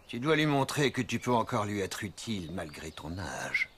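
An actor playing a sly, cocky wolf in a cartoon, with a gravelly, self-assured voice. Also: male voice, on the low side, big pitch swings, normal speed, fairly bright tone, a little hiss or hum. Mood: sly, cool. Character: sly old male wolf, scheming and cocky. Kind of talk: animated cartoon dub.

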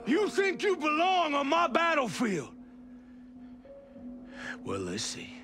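An actor playing a menacing military commander in a video game, sardonic and profane, swinging between hushed and shouted delivery. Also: male voice, very high voice, huge pitch swings, slow pace, over music, heavily acted. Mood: threatening. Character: menacing military commander berating his drunken elite soldiers. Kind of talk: cinematic video game acting.

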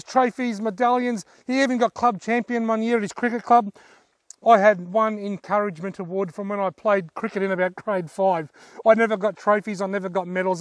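A man's voice: high-pitched, no room sound. A man in his forties with an Australian accent, talking casually and effusively to camera as a vlogger. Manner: effusive, enthusiastic. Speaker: fishing vlogger, host. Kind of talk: casual vlog talk to camera. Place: outdoors on a dirt track in bushland.

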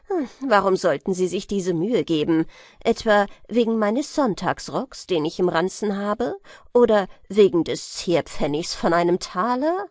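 A woman reading an audiobook, putting on a lower voice for a cheerful, unbothered male journeyman smith who teases his fearful companion. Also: dry recording, clean studio sound, lightly acted. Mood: teasing. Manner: calm, mocking, reassuring, lively intonation. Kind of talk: audiobook character voice.

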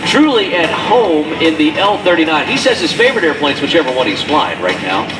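A man's voice: a little high, very animated, brisk. A man commentating live at an outdoor air show, calling the maneuvers with enthusiastic, exclamation-filled play-by-play from the announcer stand. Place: outdoor airfield, voice over a public address system. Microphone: announcer mic over loudspeakers, recorded from far off by a spectator camera. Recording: far-off echoey PA sound with loud music.

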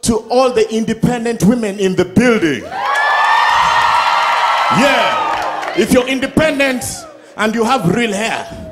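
A middle-aged man with a Ugandan accent doing a loud, playful stand-up set on a big studio stage with a handheld mic. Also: extremely high pitch, measured pace, pauses often, very noisy. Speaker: stand-up comedian. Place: large studio stage with live audience. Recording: live stage sound with crowd and music. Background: audience laughter and music.

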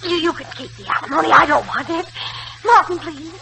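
A faintly noisy 1940s radio recording of a desperate, sickly ex-wife. Her voice is very bright and piercing, moving up and down in lively, melodramatic, insistent swoops. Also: sits high, brisk, gravelly, heavily acted. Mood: terrified pleading. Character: desperate, sickly, pleading ex-wife short of money. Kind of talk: 1940s radio drama acting.

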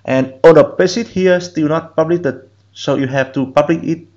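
A man whose first language is Vietnamese, giving halting step-by-step instructions in a screen-recorded software tutorial. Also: on the high side, muffled recording. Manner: slow, halting step-by-step instructions in non-native English. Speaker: programming instructor.

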